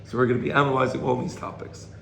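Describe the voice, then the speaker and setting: A slightly hoarse man giving an informal religious lecture to a study group, explaining and checking in with rhetorical questions. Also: mid-range voice, level tone, racing, fairly bright tone. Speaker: Torah teacher. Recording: roomy echo, faint background noise.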